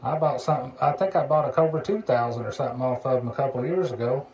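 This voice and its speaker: A man's voice: mid-range voice, fast, darkish tone. A man chatting casually as a CB radio hobbyist while demonstrating a repaired radio. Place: indoor electronics workbench. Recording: clearly noisy workbench recording, background hiss.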